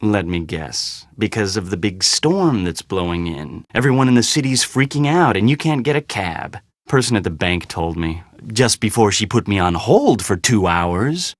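An actor voicing a guarded, weary young heir in a video game, with a casual, sarcastic, slightly awkward manner. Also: male voice, lowish voice, very animated, normal speed, hardly any pauses, dry recording, studio quality. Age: young adult. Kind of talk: video game dialogue acting.

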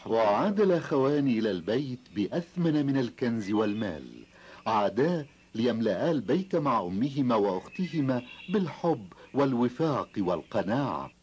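A man's voice: medium pitch, expressive, a little fast, a little mellow, muffled recording, slight background noise. A man narrating a scripted children's cartoon story.

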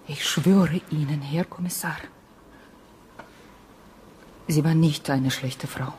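An actress playing a guarded, loyal Ukrainian woman witness who answers in short, halting phrases with a Ukrainian accent. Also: low voice, a little mellow, a little hiss or hum. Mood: earnest.

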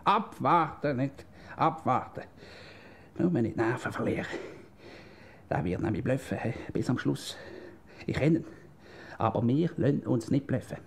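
A male actor playing a blustering, know-it-all husband in a comic radio play, with a Swiss German accent and excitable, repetitive delivery. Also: medium pitch, moderate pace, long pauses, breathy edge. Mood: scheming, commanding. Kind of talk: comedic radio play dialogue.

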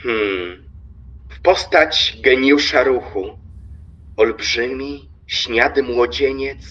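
Man acting pompous railway official, practical and self-satisfied, radio play, unhurried, muffled sound with faint noise. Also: mid-range voice, a bit bright. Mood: grandiose. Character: smug, pragmatic railway stationmaster.

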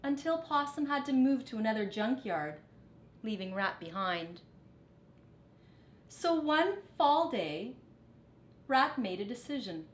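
A middle-aged woman reading a children's picture book aloud deliberately and expressively in a living room. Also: fairly high pitch, big pitch swings, roomy sound. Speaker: storyteller, teacher. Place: living room, indoors on a couch.

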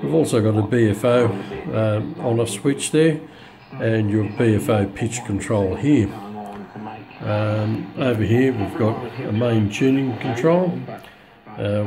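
A man with a fairly low voice walks through a piece of equipment in a casual, unscripted way. He speaks haltingly, pausing often as he goes. It is a home camera recording made indoors, with clear chatter behind him. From Australia. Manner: halting, hesitant delivery with frequent pauses. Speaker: radio enthusiast presenting a receiver. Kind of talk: casual unscripted product demonstration.

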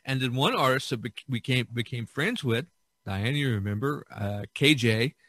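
A man's voice: medium pitch, expressive, normal speed, a bit bright. A man chatting casually as a podcast host, reminiscing in a rambling way that repeats and circles back.

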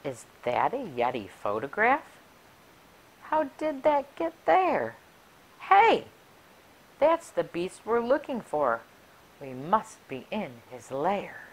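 A middle-aged woman reading a rhyming picture book aloud for children in an expressive, deliberate, sing-song way. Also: medium pitch, slight background noise, low-quality recording. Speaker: library story time reader. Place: small furnished room indoors. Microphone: clip-on lapel mic.

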